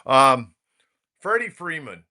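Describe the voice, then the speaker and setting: Bright-voiced man hosting sports talk. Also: on the high side, sing-song, slow. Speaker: sports talk show host, coach.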